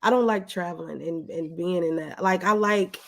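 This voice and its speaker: A woman's voice: lowish voice, normal speed, a bit bright. A woman chatting casually as a guest on a show. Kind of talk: casual chat. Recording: audible background noise and clicks.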